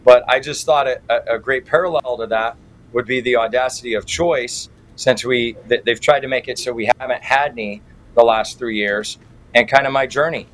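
A middle-aged American man speaks as an interview guest over some background noise. His pitch is average, and he talks in a colloquial, irreverent way with folksy turns of phrase. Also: fairly even intonation, moderate pace, fairly bright tone. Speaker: guest, political activist. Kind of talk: conversational interview answers. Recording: clearly noisy background.

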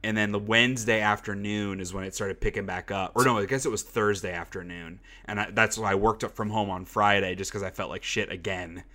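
A man's voice: on the low side, fairly even intonation, a little fast, few pauses, sharp tone. A young man hosting a casual podcast, congested from a cold and rambling with lots of fillers. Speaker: podcast host. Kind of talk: casual chat.

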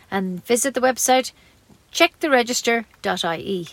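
A woman reads the weekend's local news on community radio, her voice sitting fairly high. She keeps a steady pace, tripping over a word now and then and correcting herself. The sound is dry and close to the mic. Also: no echo. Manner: steady read with occasional stumbles and restarts. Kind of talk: reading local news bulletin.

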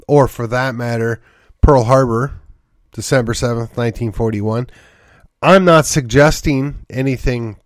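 A man's voice: mid-range voice, no echo, clean studio sound. A man hosting a solo political commentary podcast, congested from a sinus infection, rambling and wry with sighs and short laughs. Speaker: podcast host.

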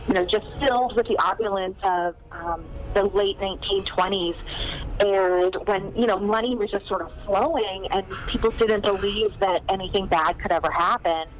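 A woman's voice: mid-range voice, moderate pace, poor audio. Chatty woman author with faint background hiss. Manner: chatty, animated, many fillers and laughs. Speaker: guest author. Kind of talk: casual interview chat.

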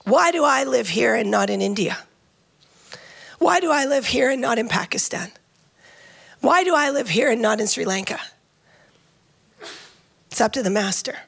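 A woman's voice: fairly high pitch, expressive, normal speed, vocal fry, pauses often, a bit bright, breathy edge. A woman preaching a sermon, earnest and emphatic, often repeating phrases as she builds a point.

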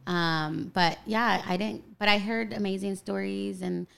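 A woman with a sweet, almost childlike voice, chatting as a guest on a podcast. Her pitch sits in the middle of the usual range. She rambles loosely, packs her talk with fillers, and laughs easily. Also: fairly even intonation, a little slow, vocal fry, a bit bright, smooth. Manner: giggly, chatty, lots of fillers. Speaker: actress guest. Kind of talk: casual podcast chat.

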